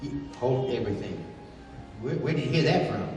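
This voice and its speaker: An actor playing a bumbling, hot-tempered brawny adventurer in 1930s radio style, talking in slang. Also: male voice, medium pitch, sing-song, normal speed, a little mellow, faint music behind, natural voice. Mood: indignant, furious. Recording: echoey hall, distant mic, faint noise.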